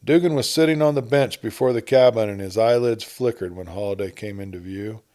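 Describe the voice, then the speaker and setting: A man reading an adventure story as a narrator, in a measured storytelling manner with dramatic emphasis. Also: lowish voice, warm tone. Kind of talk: scripted dramatic audiobook narration.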